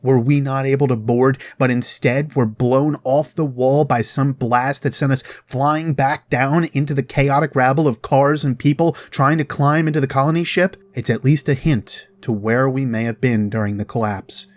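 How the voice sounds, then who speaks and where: A man hosting a game lore video, delivering narrated commentary directly to viewers with dramatic pauses. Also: medium pitch, fairly even intonation, dry recording. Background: background music.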